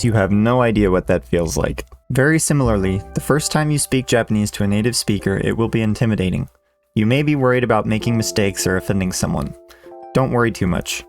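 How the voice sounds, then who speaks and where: Guy in his 30s giving a calm earnest lesson, mid-pitched voice, music low in the back. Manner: measured, earnest, instructive. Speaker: Japanese teacher. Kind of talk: scripted educational voice-over. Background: soft background music.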